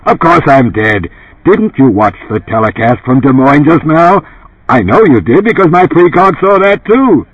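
A man voicing a brash older tycoon turned television pitchman in an audiobook, gravelly and emphatic, with a salesman's delivery. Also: lively intonation, normal speed, dry recording, lo-fi sound. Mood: impatient, brash. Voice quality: gravelly, dark, resonant. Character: dead older tycoon as booming, blunt TV pitchman.

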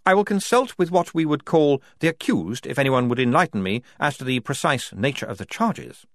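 A man voicing a brisk, reasonable army major who speaks politely and persuasively in an audiobook. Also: medium pitch, expressive, a bit bright, no echo, studio quality, voice pushed up. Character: crisp, reasonable male army major, pragmatic legal officer.

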